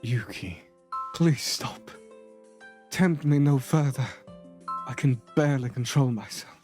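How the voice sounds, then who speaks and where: An honorable, lovestruck prince, played by a man, talks slowly and tenderly in a medium male voice. His delivery is earnest romantic theatre. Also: mid-range voice, darkish tone, soft background music, close to an everyday voice. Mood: pleading. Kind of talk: romantic theatrical acting in a fan dub.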